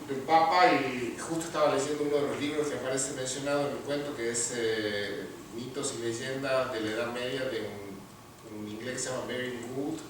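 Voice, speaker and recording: Soft-spoken Argentine man in echoey hall. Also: medium pitch. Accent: Argentine Spanish. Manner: measured and thoughtful, pausing with fillers. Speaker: literature teacher and award-winning writer. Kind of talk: interview answers, then reading his story aloud. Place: large room at an award ceremony. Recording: echoey room, far from mic, noisy.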